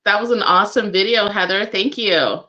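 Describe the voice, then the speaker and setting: A woman's voice: mid-range voice, normal speed, sharp tone, dull audio. A woman hosting a casual radio interview, speaking in an encouraging way. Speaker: radio show host.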